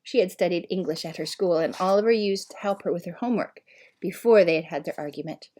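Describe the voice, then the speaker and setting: A woman reading a children's novel aloud as scripted narration, with an expressive, measured storytelling manner. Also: on the low side. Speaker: audiobook reader.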